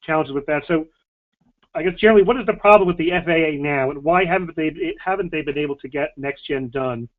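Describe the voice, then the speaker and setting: A man's voice: on the high side, quick pace. A man moderating a question-and-answer session, relaying questions in a casual way. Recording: tinny, phone-like band-limited audio.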